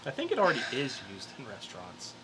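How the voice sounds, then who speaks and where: A man's voice: medium pitch, wildly swooping pitch, fast talker, pushed and bright, low-quality recording. A man co-hosting a podcast in a casual, chatty style. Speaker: podcast co-host. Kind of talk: casual chat with a quiz segment.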